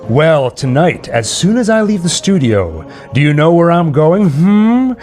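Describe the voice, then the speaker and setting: A man playing a breezy, teasing 1940s radio disc jockey who hypes a haunted-house stunt with drawn-out, showy emphasis. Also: a little high, very animated, darkish tone, faint music behind. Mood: excited, teasing. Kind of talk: classic radio play acting.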